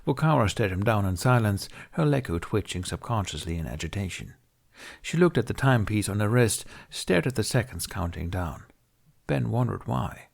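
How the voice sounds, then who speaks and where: A man's voice: lowish voice, lively intonation, moderate pace, vocal fry. A man reading a scripted story as an audiobook narrator, in a dramatic narrative style.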